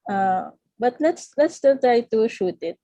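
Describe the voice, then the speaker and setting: A woman's voice: on the high side, sing-song, measured pace, mellow, no echo, dull audio. A young adult woman with a Filipino accent giving casual feedback in Taglish, polite and hesitant as a director. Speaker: director giving notes at a table read. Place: video call.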